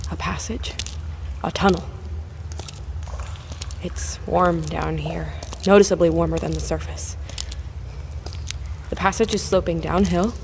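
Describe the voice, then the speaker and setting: An actress playing a cautious, curious woman explorer recording a field log in an audio drama, speaking in a measured, observational way. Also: lowish voice, creaky, darkish tone, a little hiss or hum. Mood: hushed, awed. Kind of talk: found-footage audio drama acting.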